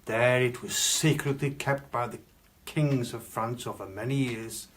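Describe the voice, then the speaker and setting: A man playing a pompous Victorian gentleman collector, telling macabre tales in a grandiloquent, theatrical way with dramatic pauses. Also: mid-range voice, slight background noise, natural voice. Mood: grave, dramatic. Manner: grandiloquent, archaic phrasing, dramatic pauses and stresses. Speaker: costumed storyteller. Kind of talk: theatrical live storytelling.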